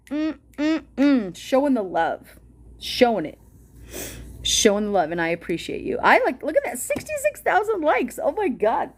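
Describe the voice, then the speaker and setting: Loud, excitable woman streamer. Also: medium pitch. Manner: excitable, gasps and squeals at viewer gifts, swears casually. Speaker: livestream host reading a document aloud. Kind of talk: casual livestream chat and reading aloud.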